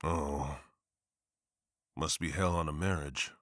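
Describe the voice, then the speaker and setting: A man's voice: rumbling low, steady tone, slow, a bit bright, dry recording, clean studio sound, full character voice. A man playing a driven, bitter district attorney who speaks sardonically and heatedly. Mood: sardonic.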